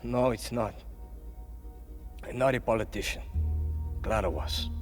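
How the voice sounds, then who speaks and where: An actor playing a tough, passionate young guerrilla in a game, blunt and earnest. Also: male voice, on the low side, measured pace, lightly acted. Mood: firm, resolute. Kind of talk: dramatic video game acting. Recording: loud music behind.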